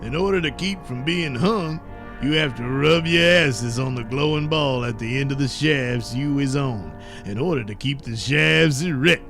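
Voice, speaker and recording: A man's voice: medium pitch, normal speed, a bit bright, soft background music, close to an everyday voice. A man playing a gleeful cartoon elephant crime boss. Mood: taunting.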